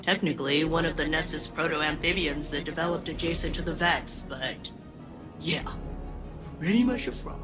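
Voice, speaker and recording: Woman voicing a deadpan spaceship AI over music. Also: sits low, moderate pace, natural voice. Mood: dry, unimpressed. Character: sardonic, dry female spaceship AI. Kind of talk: comic video game dialogue.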